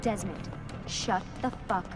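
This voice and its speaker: A woman's voice: medium pitch, slow pace, lightly acted. A woman with an American accent playing a capable, commanding young escape leader in a video game. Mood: tense, serious. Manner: terse, commanding. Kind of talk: naturalistic video game dialogue. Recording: game music behind voice.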